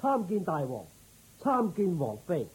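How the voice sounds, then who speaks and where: An actor playing a dutiful, inquisitive military officer in a radio drama, with a musing way of talking. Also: male voice, high voice, wildly swooping pitch, a little slow, darkish tone, slight background noise, heavily acted, low-quality recording. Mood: respectful. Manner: musing, questioning.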